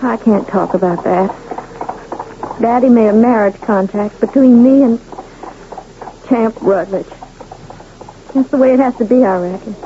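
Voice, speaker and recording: An actress playing an earnest, dutiful young ranch daughter in a radio drama. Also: female voice, fairly high pitch, unhurried, long pauses, lightly acted. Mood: subdued, resigned. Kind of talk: 1980 radio drama acting. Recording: thin phone-like, noisy vintage radio broadcast.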